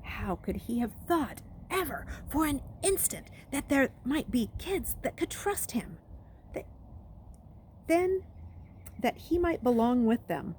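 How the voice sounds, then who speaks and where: A woman reading a story aloud as an expressive narrator. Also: high voice, slow pace, slight background noise. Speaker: read-aloud reader.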